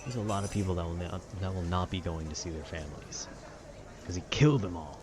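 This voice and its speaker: A man casually rambling about a video game with nerdy enthusiasm, often halting to search for words. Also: low voice, a little mellow, a little hiss or hum. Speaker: voice actor making a rambling ambience video.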